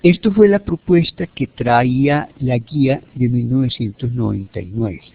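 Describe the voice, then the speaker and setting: A Colombian man delivers a halting, didactic lecture. His voice has a gravelly creak to it. The sound is thin and narrow, like a telephone connection. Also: mid-range voice. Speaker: medical lecturer. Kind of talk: teleconference lecture, explanatory. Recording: narrow, phone-like teleconference audio.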